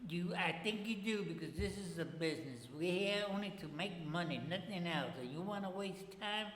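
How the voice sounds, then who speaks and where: A man's voice: sits high, fairly quick, fairly bright tone. A man playing a brusque, money-minded, folksy businessman taking phone calls in an improvised comedy sketch. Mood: curt, dismissive. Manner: curt, folksy, dismissive. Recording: very echoey room, background noise.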